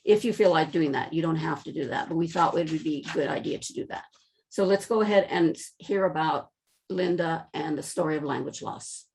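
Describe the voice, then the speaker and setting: A woman with a slightly low voice presents research to an online audience. She talks in a conversational, unscripted way, and her voice comes through a video call. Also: brisk, creaky, darkish tone, a little hiss or hum. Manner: conversational with frequent fillers and restarts. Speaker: presenter at an education webinar. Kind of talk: lecture presentation.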